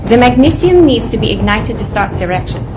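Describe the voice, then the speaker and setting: An actress playing a proud, coolly confident scientist in an audio adventure drama, speaking with formal precision. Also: female voice, fairly high pitch, sing-song, fairly quick, noisy background. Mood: proud, explanatory.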